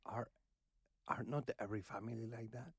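A man in his fifties hosting a podcast and teasing his family in casual conversation, recorded on a boom mic in a cozy living-room studio. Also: moderate pace, breathy edge, no room sound. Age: 50s. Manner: teasing, playful questioner. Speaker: podcast host. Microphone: boom-arm studio mic.

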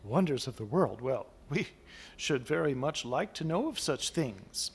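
A grand old house given a voice, as a man puts it on, speaking as "we" in a calm, formal, wondering manner. The tone is gravelly and husky, with a lively rise and fall. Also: mid-range voice, a little slow, exaggerated voice. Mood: solemn. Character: an ancient, solemn house speaking as "we".

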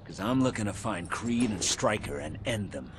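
An actor playing a gruff, grimly determined mutant soldier in a video game. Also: male voice, on the low side, animated, moderate pace, background noise, natural voice.

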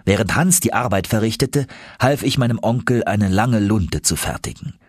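A man's voice: lowish voice, animated, moderate pace, breathy edge, no room sound, studio quality. A man narrating an adventure audiobook in a dramatic, scripted storytelling style.

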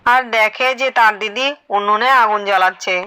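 A woman's voice: medium pitch, normal speed, bright, forceful tone, clear, resonant tone. A woman narrator reading a scripted children's story. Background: music.

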